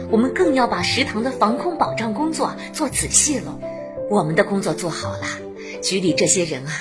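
An actress playing a warm, dutiful middle-aged canteen worker and mother in a radio drama, chatty and earnest. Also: medium pitch, natural voice. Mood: earnest, reassuring. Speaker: narrator and lead character. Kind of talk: radio drama acting with first-person narration.

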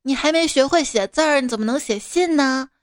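A woman's voice: sits high, fairly quick, no room sound, clean studio sound. A woman speaking as a mother and comic storyteller, in playful, coaxing scripted chat addressed to a child. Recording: background music under the voice.

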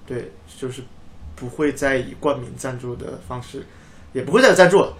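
A man co-hosting a casual motor racing chat, often repeating words and agreements in quick succession. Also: medium pitch. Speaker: podcast co-host.

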